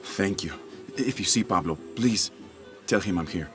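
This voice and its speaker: A deep-voiced man played by an actor, an anxious working-class father in a video game. He talks earnestly and with some hesitation, over clearly audible music. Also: moderate pace, natural voice. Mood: grateful, earnest. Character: worried, wary, grateful working-class father. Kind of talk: video game cutscene acting.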